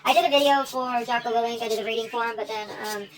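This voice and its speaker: Fast, high-voiced rambling American woman. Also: fairly even intonation, noisy background. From United States. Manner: rambling, informal, jumps between asides, swears casually. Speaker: online video creator staging a phone call. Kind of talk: rambling one-sided phone chat to camera.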